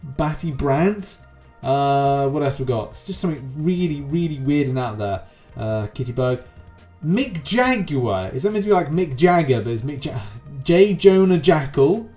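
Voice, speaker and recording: Higher-pitched young man, casual video host. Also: lively intonation, moderate pace, warm tone. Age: young adult. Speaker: YouTube host. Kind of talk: casual scripted explainer with ad-libbed commentary. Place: small room at home with green screen. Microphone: close desk microphone. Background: background music.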